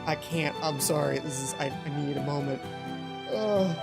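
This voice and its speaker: A woman's voice: low voice, normal speed, gravelly. A woman hosting a let's play, reading a visual novel aloud with casual, flustered asides and often breaking off mid-phrase. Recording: music playing behind the voice. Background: game music.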